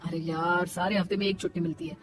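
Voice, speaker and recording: A woman's voice: lowish voice, flat delivery, quick pace, lightly acted. Woman acting a weary, evasive young husband. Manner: casual, reluctant. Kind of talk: Hindi cartoon moral story acting. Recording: music behind the voice.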